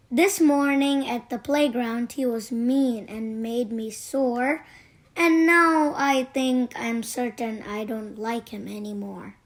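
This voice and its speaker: A woman reading a children's storybook aloud in a deliberate, expressive way. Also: on the high side. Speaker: children's book narrator. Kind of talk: read-aloud storytelling for kids.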